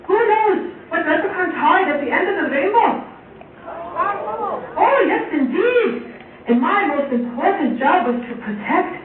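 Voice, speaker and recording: A woman's voice: very high voice, full character voice. Lady doing a folksy leprechaun guy for a kids puppet show, dramatic n cheery, far from mic, thin muffled sound. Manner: theatrical, addressing children directly. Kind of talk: live children's puppet show narration. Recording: muffled, echoey far-off recording, faint noise.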